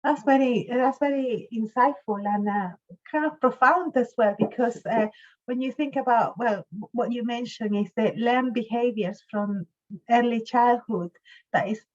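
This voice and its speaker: A woman in her fifties hosting a conversational interview, halting and often repeating her words. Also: fairly high pitch, a little mellow, dull audio. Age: 50s. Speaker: host, interviewer.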